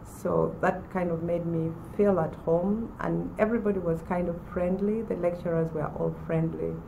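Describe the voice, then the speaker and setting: Woman in her forties, low and mellow with a gravelly edge, giving a personal testimonial. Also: fairly even intonation, slight background noise, poor audio. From Uganda. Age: 40s. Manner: measured, with pauses and restarts. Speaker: former college student.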